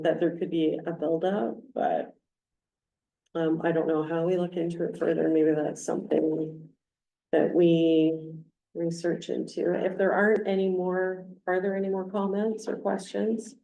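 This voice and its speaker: A woman's voice: lowish voice, gravelly, warm tone. Mayor woman in an echoey chamber. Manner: plainspoken, explaining with everyday examples. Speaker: mayor chairing a council meeting. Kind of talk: chairing a public meeting. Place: council chamber. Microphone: distant room mic. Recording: echoey council chamber from far off.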